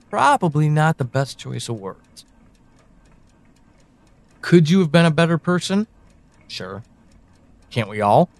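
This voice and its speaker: An actor plays a brooding man who seems to know too much, in an intimate audio drama monologue. His voice sits a little high for a man and is recorded close and dry. He addresses one person directly, choosing each phrase with deliberate, halting pauses. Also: a little mellow, no echo. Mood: reflective, earnest. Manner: deliberate, halting delivery with sighs and pointed pauses. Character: brooding, knowing man confiding in an amnesiac woman.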